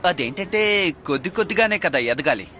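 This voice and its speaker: A man's voice: on the high side, expressive, quick pace, slight background noise. A man playing an earnest, determined teenage boy who races dragons in a dubbed cartoon. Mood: defensive. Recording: loud music under the voice.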